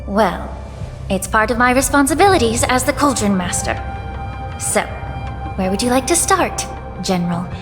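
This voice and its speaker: A scholarly female alchemist, voiced by a woman explains patiently in a light, slightly high voice. Game music plays behind her, and a long reverb trails her words. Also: expressive, normal speed, long pauses, close to an everyday voice. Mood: explaining. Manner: explanatory, gentle. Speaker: game character.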